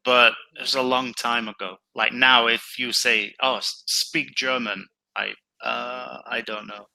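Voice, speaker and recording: A middle-aged man from England, chatting casually as an online tutor in a relaxed, storytelling way. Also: medium pitch, gravelly, poor audio. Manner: relaxed, chatty, tells stories slowly. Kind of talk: casual conversation practice.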